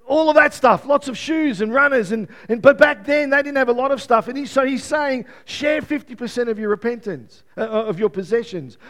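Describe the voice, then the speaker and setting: A man preaching a sermon in an earnest, conversational way, his voice worn and strained as he notes he is losing it, with frequent restarts and light chuckles. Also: extremely high pitch.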